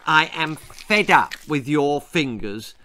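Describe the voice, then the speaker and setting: A man voicing a gruff, aggrieved green bogey monster with a growling, slurping voice in a children's comic horror story. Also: a little high, animated, slow, heavily acted. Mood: menacing. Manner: indignant, loud, menacing.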